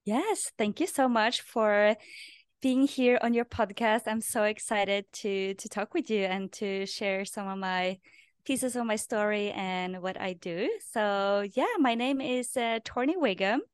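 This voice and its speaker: A woman from Norway, in her thirties, speaks English as her second language with a smooth, resonant voice. She tells her own story earnestly, often restarting on a repeated word. The sound is dry and close. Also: mid-range voice, unhurried, dry recording. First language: Norwegian. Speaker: podcast guest, life purpose coach. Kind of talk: casual storytelling interview.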